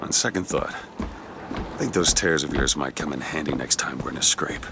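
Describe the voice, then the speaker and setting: An actor playing a gruff, weary middle-aged ex-detective in a video game, talking bluntly and sardonically. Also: male voice, very deep voice, normal speed, noisy background. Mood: wry. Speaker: game protagonist. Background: game music.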